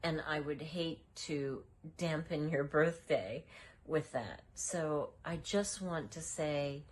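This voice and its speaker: A woman giving an earnest, gentle birthday blessing, joking that she will not sing. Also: low voice, slow pace, a little hiss or hum. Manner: gentle, earnest, self-deprecating. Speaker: well-wisher. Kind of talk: recorded birthday message.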